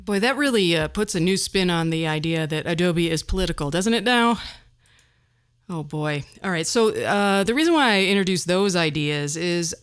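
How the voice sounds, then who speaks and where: A woman's voice: lowish voice, animated, moderate pace, smooth, soft background music. A woman hosting a podcast solo, talking casually in a wry, self-deprecating way. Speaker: podcast host.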